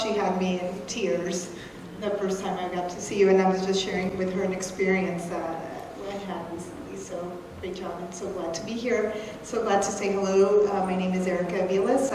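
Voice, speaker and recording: A woman from El Salvador whose first language is Spanish, speaking on a panel in a friendly, unhurried way, recorded far off in a large hall. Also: mid-range voice, background noise. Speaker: marketing agency owner, panelist. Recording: roomy hall sound from far off.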